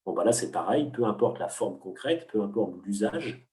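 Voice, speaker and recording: Low, mellow man explaining, roomy. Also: expressive, normal speed. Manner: didactic, many hesitations and restarts. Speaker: economics teacher, guest. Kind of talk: explanatory interview talk. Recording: roomy, from a distance.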